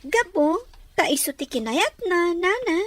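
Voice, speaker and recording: A woman's voice: very high-pitched, very animated, a little slow, dry recording, full character voice. A woman playing a worried young daughter in a serial family drama. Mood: calm, deferential. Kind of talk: Ilocano radio-style serial drama acting.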